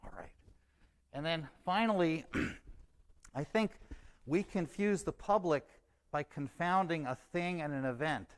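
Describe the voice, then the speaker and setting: Measured man giving an academic talk. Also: fairly high pitch. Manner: measured, explanatory, says okay as a filler. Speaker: conference presenter.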